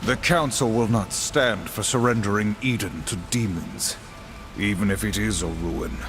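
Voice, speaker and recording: A man's voice: lowish voice, moderate pace, noisy background, natural voice. A man playing a stern, dutiful horseman warrior in a video game, speaking in a solemn, formal, declamatory manner. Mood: defiant. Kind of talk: game cutscene dialogue. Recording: music behind the voice.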